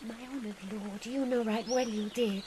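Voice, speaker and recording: Woman acting gentle, wounded young noblewoman, highish voice. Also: steady tone, moderate pace, noisy background, close to an everyday voice. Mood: earnest, wounded. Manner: formal, courteous. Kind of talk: Shakespearean radio drama acting.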